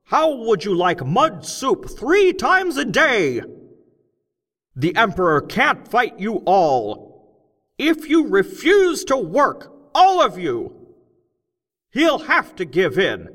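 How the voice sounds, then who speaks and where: A man voicing a man turned gnome, rousing a crowd of miners with loud, slow, impassioned speech. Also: very high voice, wildly swooping pitch, big-room sound, pitched above the performer's own voice, exaggerated voice.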